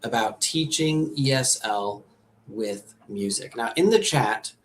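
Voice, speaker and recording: An upbeat man from America hosts a live teacher webinar in a mid-range voice, chatty and quick to repeat himself. Faint background noise sits under his video-call audio. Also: mid-range voice. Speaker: host, ESL teacher and music producer.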